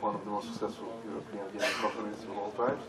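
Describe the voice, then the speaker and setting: A man from Serbia, speaking haltingly as a conference panelist. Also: on the low side, little pitch movement. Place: large conference hall with audience. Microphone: stage microphone heard from far off. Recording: echoey hall, distant, audience noise.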